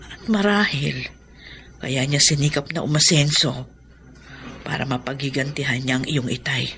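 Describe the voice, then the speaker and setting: A woman playing a gentle, anxious wife and mother in a concerned Filipino radio drama delivery. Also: very deep voice, normal speed, a little hiss or hum. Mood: somber, explaining. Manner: explanatory, concerned.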